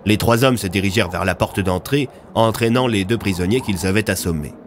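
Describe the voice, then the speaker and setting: Un homme qui raconte une fiction audio, en narration dramatique écrite. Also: male voice, fairly low pitch, fairly quick, slight background noise. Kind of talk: scripted dramatic story narration.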